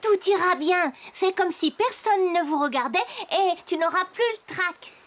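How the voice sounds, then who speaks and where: Une femme qui joue une petite chatte de dessin animé, gentille et encourageante, au ton rassurant et enjoué. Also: female voice, very high-pitched, normal speed, fairly bright tone. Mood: cheerful, reassuring. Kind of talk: cartoon dub acting. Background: music.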